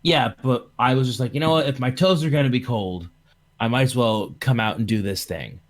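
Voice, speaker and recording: A man's voice: medium pitch, a little fast. A man hosting a livestream podcast over computer voice chat, chatting casually in a rambling, jokey way. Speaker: podcast host.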